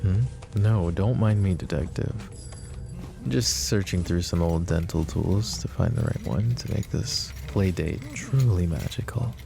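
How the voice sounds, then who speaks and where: A man voicing a possessive, menacing mafia boss in a roleplay audio drama, talking slow and taunting, with sweet pet names. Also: low-pitched, over music. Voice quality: soft, smooth and taunting. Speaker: voice actor.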